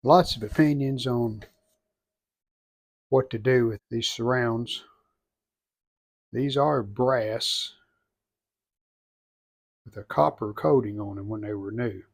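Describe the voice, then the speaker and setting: A man's voice: medium pitch, slow, creaky, long pauses. A man narrating a hands-on restoration casually, thinking aloud in short, plainspoken phrases. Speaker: restoration video host.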